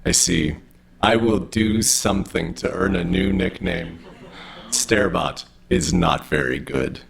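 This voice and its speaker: A man's voice: low-pitched, slow, creaky, heavily acted, poor audio. A man playing an overly friendly, literal-minded robot in an audio drama, with formal, stilted speech. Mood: earnest, friendly.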